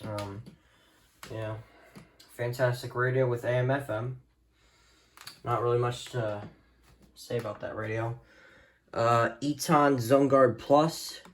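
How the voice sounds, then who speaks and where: Guy doing a casual unscripted collection video, offhand and rambly, normal mid pitch. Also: steady tone, slow, long pauses. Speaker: hobbyist video host.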